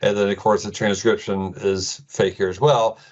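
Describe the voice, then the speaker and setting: A middle-aged man with an American accent giving an informal tech presentation in a casual, halting way full of ums. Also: on the low side, flat delivery, muffled recording. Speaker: presenter, IT administrator.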